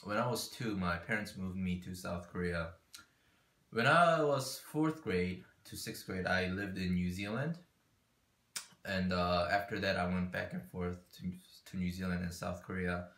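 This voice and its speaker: A man's voice: sits low, unhurried, fairly bright tone. A young man born in the United States, raised partly abroad, talking earnestly about his life and beliefs. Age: young adult. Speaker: interviewee. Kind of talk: personal account. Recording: echoey room.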